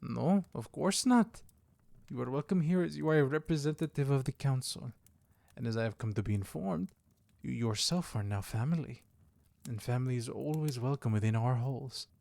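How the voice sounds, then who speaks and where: A man plays a diplomatic vampire clan elder in an audio roleplay. His mid-range voice has a lot of gravelly fry and is recorded dry. He speaks formally, measured and unfailingly polite. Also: mid-range voice, a little mellow, no room sound, close to an everyday voice. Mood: diplomatic, composed. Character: courteous, diplomatic youthful-looking male elder of vampire clan. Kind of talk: audio roleplay drama acting.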